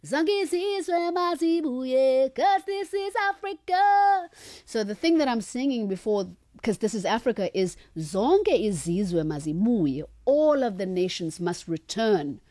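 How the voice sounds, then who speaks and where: A woman sings short lines, then stops to explain what they mean. Her voice is dark and mellow. It is recorded close and dry. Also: a little high, expressive, unhurried, no echo. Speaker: singer explaining her song. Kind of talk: sung phrases with spoken explanation.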